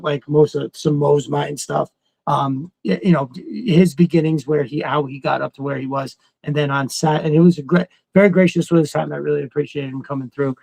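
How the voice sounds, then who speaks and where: A man's voice: a little high, creaky, a little mellow, no echo. A man co-hosting a live stream in a casual, enthusiastic and agreeable way. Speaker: regular live stream co-host. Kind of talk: casual fan chat.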